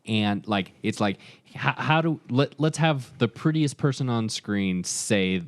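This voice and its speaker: A man's voice: medium pitch, animated, unhurried, no room sound, clean studio sound. A man co-hosting a podcast in a casual debate, with a teasing, playfully competitive manner. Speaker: podcast co-host.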